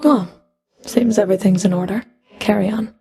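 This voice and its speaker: A woman's voice: medium pitch, fairly even intonation, normal speed, vocal fry, warm tone, close to an everyday voice. A woman playing a stern, sardonic underworld fury in a video game, speaking in a cool, clipped, dry and commanding manner. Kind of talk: video game character voice lines.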